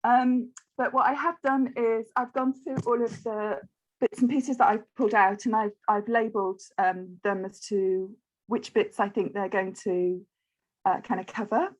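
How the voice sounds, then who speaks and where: A woman's voice: mid-range voice, steady tone, unhurried, low-quality recording. A woman from the United Kingdom chatting on a video call, hesitant and apologetic, with many ums. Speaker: quilt-along participant. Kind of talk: casual chat on a video call.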